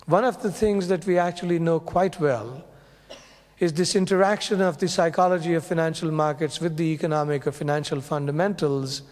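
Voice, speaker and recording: A male professor speaking on a panel, laying out his points in a measured, explanatory way. His voice sits a little high for a man. The sound carries the long reverb of a lecture hall. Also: darkish tone. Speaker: business school professor. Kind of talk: panel talk to an audience.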